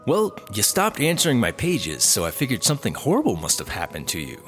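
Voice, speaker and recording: A man's voice: mid-range voice, wildly swooping pitch, fairly quick, fairly bright tone, soft background music. A man playing a clueless, cheeky fellow in a comedy audio drama, with a glib, oblivious delivery. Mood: flippant. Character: clueless, cheeky man, flippant lovelorn rehab patient.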